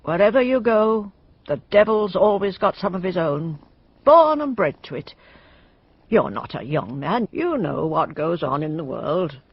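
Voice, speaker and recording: A woman's voice: fairly low pitch, very animated, measured pace, breathy edge, no echo, muffled recording, exaggerated voice. An actress playing a suspicious, gossipy village woman in a radio drama, blunt and full of knowing hints. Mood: knowing, ominous.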